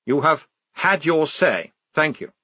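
A man's voice: on the high side, big pitch swings, slow, pushed and bright, breathy edge, dry recording, voice pushed up, heavily acted. A man doing a stiff, earnest young lieutenant. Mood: defiant. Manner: speechmaking, stiff and formal. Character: nervous, earnest young male lieutenant, formal.

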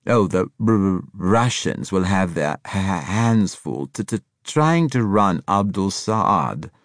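A man's voice: low voice, expressive, takes it slowly, dry recording, clean studio sound. A man voicing a cheerful, flamboyant older spy who stammers on consonants and talks in an airy, condescending way. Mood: gleeful. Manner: airy, arch, condescending, effusive.

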